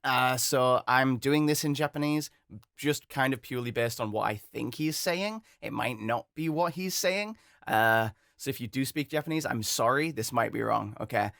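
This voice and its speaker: A man's voice: medium pitch, normal speed, creaky, a bit bright, dry recording, studio quality. A young man chatting casually as host of a voice acting video, rambling and self-deprecating. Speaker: voice acting YouTuber. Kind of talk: casual chat.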